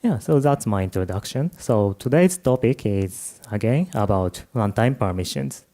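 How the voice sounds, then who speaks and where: A young Japanese man with a Japanese accent giving a conference talk, halting and full of fillers, tired from jet lag. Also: lowish voice, animated, dark tone. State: jet-lagged. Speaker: conference speaker. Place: conference room.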